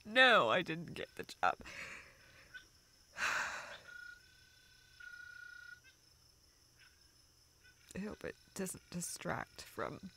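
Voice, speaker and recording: A woman acting a tearful, self-deprecating young woman in an intimate audio roleplay, halting and rambling as she talks to a close friend. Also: lowish voice, big pitch swings, slight background noise, full character voice. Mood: sad, soft. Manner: halting, rambling, soft laughs and whispered asides.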